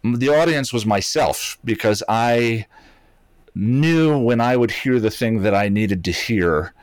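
A man's voice: medium pitch, slow, no room sound. A man in reflective casual conversation, searching for his words and speaking hesitantly about his own experience. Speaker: video channel creator.

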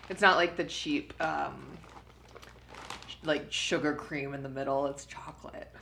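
This woman talks in a low register with a gravelly edge of vocal fry. She chats casually with quick, giggly asides. Her voice carries a roomy echo and faint background noise. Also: steady tone, sharp tone. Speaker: podcast co-host. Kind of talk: casual snack-tasting chat.

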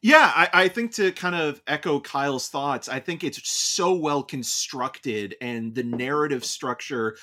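A man's voice: fairly high pitch, unhurried, few pauses, bright, forceful tone. A man co-hosting a podcast, giving wordy, analytical film commentary in a conversational tone. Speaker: podcast co-host and film reviewer.

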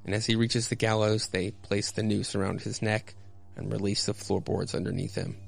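Creaky male horror storyteller over music. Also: on the low side, little pitch movement, moderate pace. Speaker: podcast host and reader. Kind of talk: scripted narration of a horror comic.

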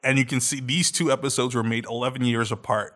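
A man's voice: medium pitch, fairly even intonation, fast talker, fairly bright tone. A man in his thirties hosting a podcast, chatting casually and animatedly with frequent laughs and fillers. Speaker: podcast host. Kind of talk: casual chat.

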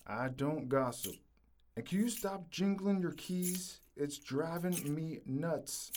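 Woman as a mellow, antsy Southern husband. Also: deep voice, slow pace, slight background noise. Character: antsy, scolding small-town husband, comic gossip. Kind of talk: comic audio drama acting.

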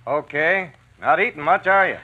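Casual guard, tinny sound. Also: male voice, fairly high pitch, animated, normal speed. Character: genial, casual male factory gate guard. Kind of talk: old-time radio drama acting. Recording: thin, phone-like old radio recording.

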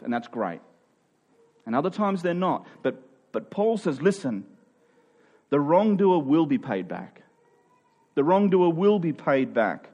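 This is a man preaching, his voice a little higher than average. He explains in an informal, conversational style, stressing his points and checking in with those listening. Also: a little mellow. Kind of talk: conversational expository sermon.

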